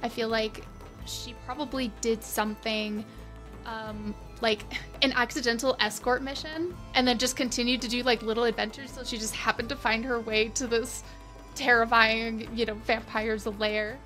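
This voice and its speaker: A woman chatting casually as an interview guest, enthusiastic and expressive about playing tabletop games. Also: on the high side, moderate pace, faint music behind.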